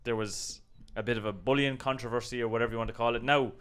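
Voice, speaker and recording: An Irish man co-hosts a casual online discussion. His voice is smooth and clear, sitting in a middle register. He talks conversationally, qualifying his points with small asides. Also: mid-range voice, steady tone, quick pace, fairly bright tone. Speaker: co-host of a video channel.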